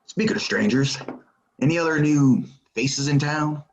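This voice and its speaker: An actor playing a menacing, distrustful gunslinger stranger in a Western audio play, talking in a terse, probing way. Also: male voice, fairly high pitch, normal speed, a little hiss or hum, close to an everyday voice, poor audio.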